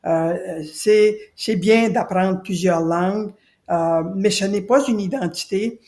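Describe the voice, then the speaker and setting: A woman's voice: medium pitch, slow pace, dark tone. A woman from New Brunswick, speaking earnestly as a podcast guest and repeating her key points for emphasis. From Acadia, New Brunswick. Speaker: guest, citizen committee spokesperson. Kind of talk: podcast interview.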